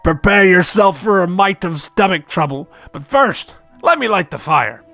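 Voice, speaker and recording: A man acts out a big, crude, kindly half-giant groundskeeper from a comedy parody. He speaks a hearty, rustic British dialect with a gravelly edge. His delivery swings freely in pitch. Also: animated, moderate pace, sharp tone, faint music behind, heavily acted.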